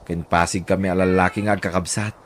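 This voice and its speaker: Radio drama: humble laborer dad played by a man, low register, northern Philippine accent, earnest and deferential, some background noise. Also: little pitch movement, fast, close to an everyday voice. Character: humble, hardworking widowed father, laundryman and vendor.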